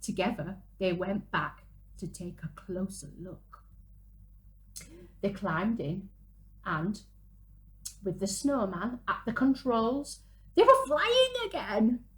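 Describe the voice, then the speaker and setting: A woman, reading a picture book aloud to young children in an animated, dramatic way. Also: mid-range voice, poor audio. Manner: animated, dramatic gasps and drawn-out exclamations. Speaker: children's storyteller, early years presenter. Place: small room at home, indoors.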